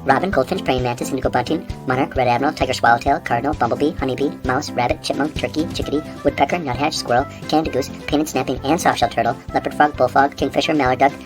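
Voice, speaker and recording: A woman plays a cocky little snail mailman in a children's audio story. He rattles along extremely fast in a low, eager salesman voice, with music underneath. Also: steady tone. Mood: matter-of-fact, brisk. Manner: rapid-fire, eager, salesman-like. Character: speedy, confident male snail mail carrier. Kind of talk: children's audio drama acting.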